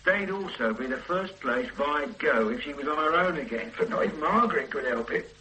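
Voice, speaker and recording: A stubborn police detective in a radio drama, probing and doubtful as he turns a case over. His voice is fairly high and bright, swinging through a lively range. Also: normal speed, a little hiss or hum, poor audio. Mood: musing.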